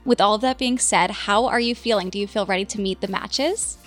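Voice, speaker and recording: A woman's voice: mid-range voice, brisk, a bit bright. A woman hosting a dating podcast, upbeat and playful, with faint music behind. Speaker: host.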